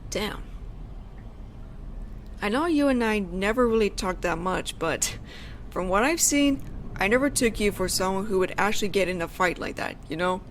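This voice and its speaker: Woman playing a lively-pitched teasing delinquent schoolgirl. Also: slight background noise. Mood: teasing, impressed. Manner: casual, teasing, gentle, with thoughtful pauses. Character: teenage delinquent schoolgirl, teasing but softly caring tough girl. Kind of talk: slow intimate audio roleplay addressed to the listener.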